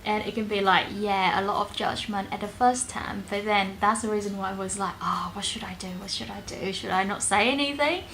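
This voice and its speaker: Vietnamese-accented young woman, flat creaky voice. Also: medium pitch, a little fast, few pauses, a little hiss or hum, low-quality recording. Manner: chatty, acts out her own worried questions. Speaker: vlogger. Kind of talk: casual couple Q&A chat. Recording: background music under the talk.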